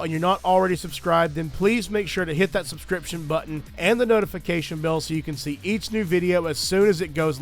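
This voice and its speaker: A man with a somewhat high voice talks through game loadouts in a careful, thorough way. He is still getting over a cold. Quiet music plays under his earnest, grateful commentary. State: recovering from a cold. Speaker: gaming video creator. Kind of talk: gameplay commentary and channel talk.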